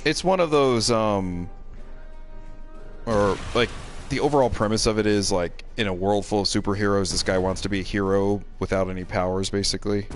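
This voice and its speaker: Male streamer, fairly deep register, meandering hesitant delivery, offhand conversational tone. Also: fairly even intonation, normal speed, faint music behind. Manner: hesitant, meandering, hedging phrases. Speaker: game streamer, co-host. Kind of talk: casual chat while streaming a game.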